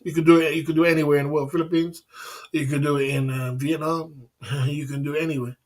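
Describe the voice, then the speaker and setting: Middle-aged man, slightly high voice, brags and repeats himself on a livestream. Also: little pitch movement, fast. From England. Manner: repetitive, boastful, acts out dialogues. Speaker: livestream host. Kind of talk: casual livestream talk.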